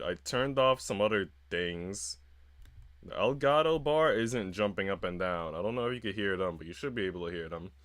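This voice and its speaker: Low-voiced man, casual slangy game streamer. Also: fairly bright tone, no room sound. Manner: slangy, informal. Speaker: livestreamer. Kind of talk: casual livestream chat, reading game narration aloud.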